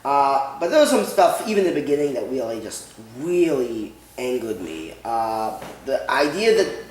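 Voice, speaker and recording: Male co-reviewer, earnest and halting, expressive intonation, roomy recording with faint noise. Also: medium pitch. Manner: hesitant, restarting, earnest. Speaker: film reviewer. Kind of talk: casual chat. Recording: echoey room, faint noise.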